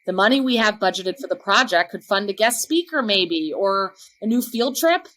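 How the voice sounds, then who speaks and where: An actress playing a measured, diplomatic woman district education official in a podcast drama. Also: medium pitch, animated, fairly bright tone, close to an everyday voice.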